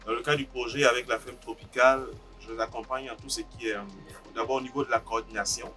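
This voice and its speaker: A man from Côte d'Ivoire, an agroeconomist describing his coordination role in a measured interview. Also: medium pitch, fairly even intonation, sharp tone. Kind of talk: interview testimony. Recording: distant mic with clear music behind.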